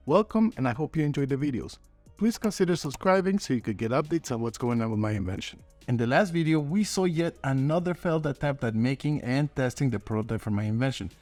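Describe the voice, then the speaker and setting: A man's voice: a little high, a little mellow. A man hosting his own channel as an inventor, narrating a scripted video update to viewers. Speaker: host and inventor.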